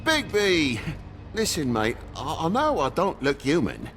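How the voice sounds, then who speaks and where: A man playing a flustered, foul-mouthed talking toad with a British accent, excitable and grumbling, in a video game. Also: fairly high pitch, a little slow, a little hiss or hum, heavily acted. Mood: pleading, defensive.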